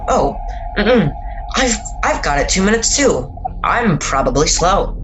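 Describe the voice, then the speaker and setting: A woman playing an easygoing, lively suburban dad. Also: mid-range voice, a little slow, over music, rough recording. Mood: casual, puzzled. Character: easygoing suburban husband and father, teasing, mildly concerned. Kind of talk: amateur radio drama acting.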